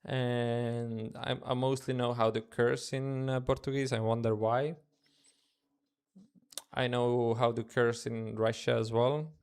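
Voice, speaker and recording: Smooth-voiced Estonian man, casual live stream. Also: medium pitch, flat delivery, slow pace, a little mellow. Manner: casual, chatty, thinks aloud in loose non-native English. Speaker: day trader streaming live. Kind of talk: live stream commentary, answering chat. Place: his room at home.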